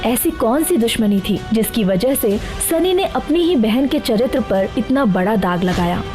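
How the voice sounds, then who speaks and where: A woman's voice: fairly high pitch, animated, normal speed, over music. A woman giving scripted dramatic narration for an audio story.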